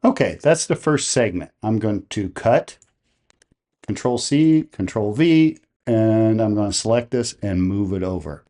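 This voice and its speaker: A man presenting a software tutorial, explaining each step in a methodical, plain-spoken way. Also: fairly low pitch, expressive, unhurried.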